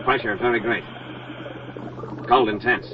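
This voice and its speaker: Man acting as brave deep-sea diver, clipped orders, 1940s radio acting, muffled narrow sound, heavy background noise. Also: steady tone, a little slow, lightly acted. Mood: terse, tense. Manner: clipped, terse telegraphic phrases. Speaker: actor in a radio drama. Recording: noisy, band-limited 1940s radio recording.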